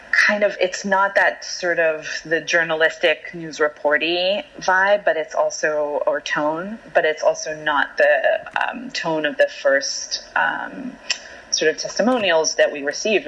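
A woman whose first language is Hebrew, an author speaking in an interview in a thoughtful, hesitant way with many pauses and restarts. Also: sits low, pushed and bright. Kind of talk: reflective conversational interview. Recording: dull lo-fi remote audio.

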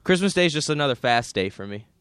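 A man's voice: medium pitch, expressive, quick pace, a bit bright, smooth, no echo, clean studio sound. Chatty laughing teenage guy on radio. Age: late teens. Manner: chatty, laughs often, stumbling restarts. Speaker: radio co-host. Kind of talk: casual on-air chat. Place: radio studio. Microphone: studio mic.

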